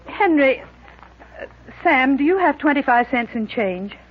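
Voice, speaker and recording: Prim middle-aged mother, high swooping voice, managing, vintage radio comedy. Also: expressive, slow. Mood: coaxing. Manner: prim, insistent, long-suffering. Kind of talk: 1940s radio comedy acting. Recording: dull lo-fi 1940s radio broadcast.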